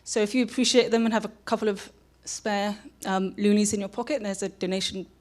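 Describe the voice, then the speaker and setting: Informal, halting woman giving a public lecture. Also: medium pitch, fairly even intonation, a little mellow. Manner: informal, halting, self-interrupting. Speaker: public programs manager and lecturer. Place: live public lecture at an aquarium. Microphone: microphone for live streaming.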